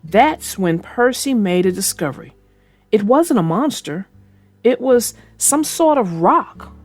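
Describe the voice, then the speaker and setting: A woman reading a children's storybook aloud in a slow, expressive way, then chatting casually about it. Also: a little high, dry recording, faint music behind. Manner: slow, expressive read-aloud with character voices. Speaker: storybook reader and co-host.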